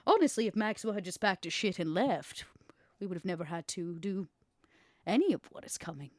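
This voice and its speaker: A woman playing an imperious, ruthless female vampire schemer in a tabletop roleplay, speaking deliberately with dramatic pauses. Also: on the low side, lively intonation, darkish tone, dry recording, clean studio sound, natural voice. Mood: contemptuous, vengeful. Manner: deliberate, dramatic pauses, stressed key words. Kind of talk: in-character tabletop roleplay acting.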